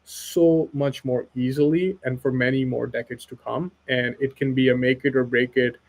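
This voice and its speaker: A man's voice: mid-range voice, steady tone, normal speed. A man from Pakistan speaking as an expert guest in a casual webinar chat, earnest and persuasive, often tagging "right?" onto his points.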